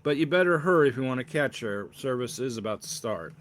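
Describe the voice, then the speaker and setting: A man's voice: medium pitch, expressive, fairly quick, natural voice. Male reader as young associate pastor: storybook character, formal, polished, a little pompous. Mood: explaining.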